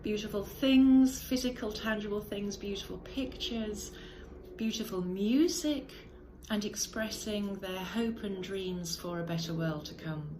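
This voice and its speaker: A middle-aged woman speaking in a measured, reflective monologue, often pausing with "uh" and correcting herself. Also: medium pitch, noisy background. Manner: measured, thoughtful, with frequent "uh" and self-corrections. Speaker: podcast host.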